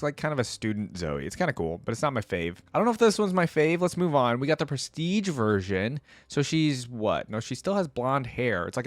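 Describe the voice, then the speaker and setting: A man's voice: medium pitch, no room sound. A man hosting a reaction video, giving casual, chatty commentary on a video game and thinking aloud in an easygoing way.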